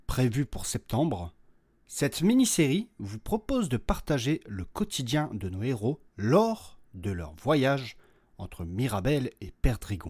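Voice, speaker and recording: Man narrating with very animated intonation. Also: mid-range voice, slightly rough. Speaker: podcast creator and narrator. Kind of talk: scripted narration. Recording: faint music behind the voice.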